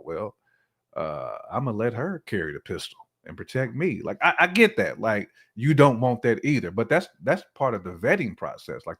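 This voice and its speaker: A man chats on a casual talk podcast in a fairly low voice. His intonation is very animated and rises and falls freely. He speaks colloquially and slips into imagined lines of dialogue to make a point. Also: husky. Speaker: podcast guest or co-host. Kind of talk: casual conversational opinion.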